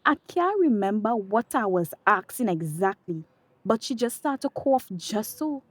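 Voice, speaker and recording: A woman's voice: medium pitch, big pitch swings, unhurried, no echo. A woman playing a grieving, fed-up young daughter with a Tobagonian accent in a radio drama, speaking emotionally in dialect. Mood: shaken. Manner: emotional, dialect speech, pleading and exasperated. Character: grieving, fed-up grown daughter caught between quarreling men.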